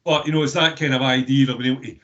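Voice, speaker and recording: A Scottish man speaking in a webinar discussion, halting and full of repeated words and restarts. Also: mid-range voice, fairly even intonation, bright, forceful tone. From Scotland. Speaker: recycling company representative. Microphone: video call. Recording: dull lo-fi call audio.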